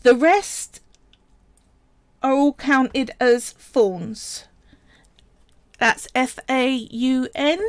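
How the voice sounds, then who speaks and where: Woman, high voice, slow unhurried delivery, chatty craft tutorial, dry close sound. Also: no echo. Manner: chatty and explanatory, often pausing to say "right" and "so". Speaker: polymer clay tutorial streamer. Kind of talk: casual live-stream craft tutorial.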